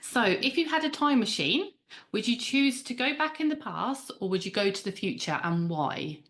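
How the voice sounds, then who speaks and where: A woman's voice: on the high side, animated, normal speed, fairly bright tone. A woman hosting a podcast, asking questions in a playful, upbeat way. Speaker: podcast host. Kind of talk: conversational podcast interview.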